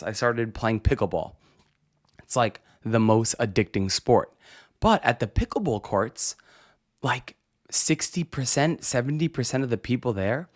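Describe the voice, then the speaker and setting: A young adult man hosting a podcast, talking casually on his own with lots of "right?" tags and restarts. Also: mid-range voice. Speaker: podcast host. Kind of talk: casual solo podcast monologue.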